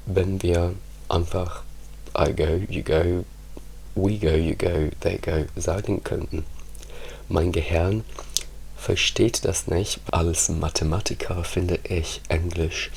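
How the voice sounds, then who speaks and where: A man whose first language is Italian, talking softly in an ASMR ramble, often slipping into whispers with halting restarts. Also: low-pitched, vocal fry, a little hiss or hum, rough recording. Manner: slow, hushed, drifting into whispers, halting restarts. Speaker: ASMR creator. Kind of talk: soft-spoken ASMR ramble.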